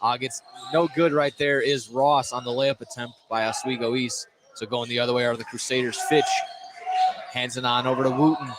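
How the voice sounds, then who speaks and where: A man's voice: mid-range voice, steady tone, brisk. A man with an American accent doing live play-by-play radio commentary of a basketball game. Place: indoor sports gym. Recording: loud gym noise behind. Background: loud game ambience.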